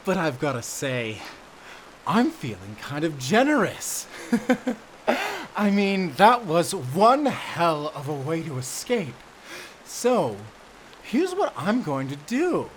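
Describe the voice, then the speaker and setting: A man's voice: fairly high pitch, wildly swooping pitch, slow pace, a little hiss or hum, heavily acted, low-quality recording. A man playing a cruel, gloating prison warden in an audio drama, drawling and mocking his captives. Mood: mock generous. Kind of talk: audio roleplay drama acting.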